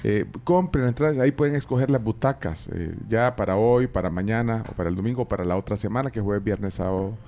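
A man's voice: lowish voice, fast talker, few pauses, warm tone. A man hosting a live studio talk show, joking and reading out viewer messages. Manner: jokey, reads viewer messages. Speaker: host.

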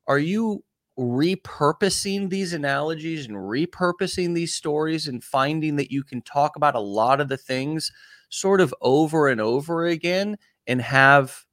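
A man's voice: mid-range voice, fairly bright tone, dry recording, clean studio sound. A man hosting a coaching interview, encouraging and asking long, rambling questions. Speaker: host.

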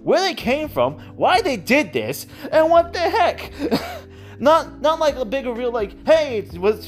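An excitable, giggly speaker rambling casually about a favourite hobby, often repeating and stammering over words. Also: mid-range voice. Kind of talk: casual rambling monologue about audio dramas.

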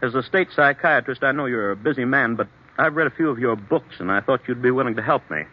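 A man's voice: medium pitch, quick pace, breathy edge, close to an everyday voice. An actor playing an anxious, troubled businessman in a 1940s radio drama, speaking hesitantly and earnestly on a dull old recording. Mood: weary, earnest. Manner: hesitant, earnest, agitated when challenged. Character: troubled, anxious businessman suffering blackouts, confiding in a doctor.

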